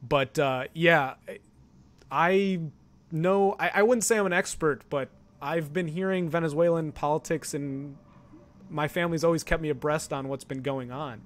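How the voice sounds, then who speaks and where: American male, twenties, higher-pitched voice, rambling and animated casual talker with halting delivery. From Ohio, United States. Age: 20s. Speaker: talk show participant discussing politics. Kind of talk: casual political chat.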